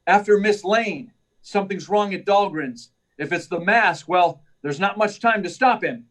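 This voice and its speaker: A man's voice: high-pitched, unhurried, a bit bright, low-quality recording. A man playing an earnest, determined hero reporter in an old-time radio drama, emphatic and urgent. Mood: urgent, resolute. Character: earnest, determined male reporter, secretly a superhero.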